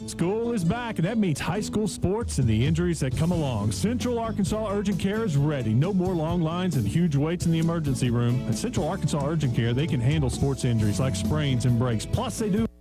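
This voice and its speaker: A man's voice: fairly high pitch, expressive, a little fast, hardly any pauses. A man doing a scripted radio advertisement as a commercial announcer. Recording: ad with loud music bed.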